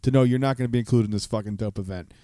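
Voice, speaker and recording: A man's voice: lowish voice, racing, warm tone, no room sound, studio quality. A man co-hosting a podcast with brash, teasing casual banter and free swearing. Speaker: podcast co-host. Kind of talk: casual banter, profane joking.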